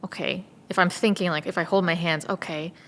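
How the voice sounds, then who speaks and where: Woman kinda low voice, casual chat, giggly n animated, talking shop. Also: fairly quick. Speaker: voice actor with theater background. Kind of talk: casual chat about acting process.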